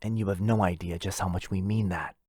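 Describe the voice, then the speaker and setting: A man's voice: deep voice, steady tone, a little fast, a little mellow, slightly rough, dry recording, studio quality. A man playing a lonely, possessive, rich vampire brother in a boyfriend ASMR roleplay, insistent and coaxing. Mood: eager, inviting.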